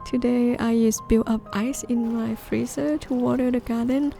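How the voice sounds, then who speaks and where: A woman from northeastern Thailand, gently narrating a gardening video in a measured, explanatory way. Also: on the high side, steady tone, gravelly, soft background music. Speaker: gardening vlogger. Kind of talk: calm scripted voice-over narration.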